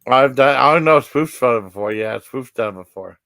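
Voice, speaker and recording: A man hosting a casual live stream, talking in a halting, repetitive, rambling way that often trails off. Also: mid-range voice, animated, vocal fry, pushed and bright, no echo.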